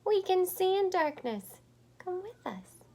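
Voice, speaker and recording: A woman voicing a gentle, loving mother bat in a storybook, hushed and reassuring. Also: extremely high pitch, very animated, takes it slowly, voice pushed up, heavily acted.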